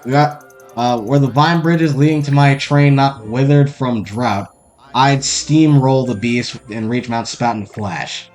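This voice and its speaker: A man hamming up a pompous steam-train engineer with grand, old-fashioned courtly speech, lowering his voice a little for the part. Soft music plays behind him. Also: a little slow, close to an everyday voice. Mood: grandiose.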